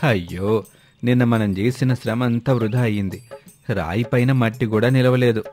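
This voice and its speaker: A man's voice: mid-range voice, moderate pace, darkish tone, soft background music, lightly acted. A man voicing a gullible, easily amazed village sidekick who exclaims in rustic colloquial speech in a moral story. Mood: dismayed.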